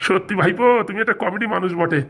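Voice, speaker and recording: A woman's voice: medium pitch, fast talker, fairly bright tone, slightly rough. A woman voicing a greedy, eager male village priest in a comic cartoon, wheedling in a rural Bengali accent.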